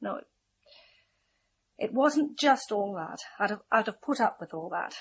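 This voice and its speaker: A woman's voice: medium pitch, expressive, a little slow, vocal fry, slightly rough, highs cut off, heavily acted. An actress with an English accent playing a bitter, sardonic middle-aged mother and wife in a radio drama. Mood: bitter, resigned. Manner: sardonic, scornful.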